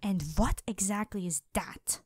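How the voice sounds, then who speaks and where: A woman playing a distressed but stubborn young woman in an audio roleplay, speaking with a pleading, halting manner. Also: mid-range voice, breathy edge, no echo. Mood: bitter, defiant. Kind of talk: cinematic audio roleplay acting.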